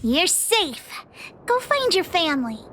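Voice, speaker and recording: High-pitched, spirited little girl. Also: sing-song, unhurried, full character voice. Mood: earnest. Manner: childlike, frank. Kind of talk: animated game voice acting. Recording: loud music behind the voice.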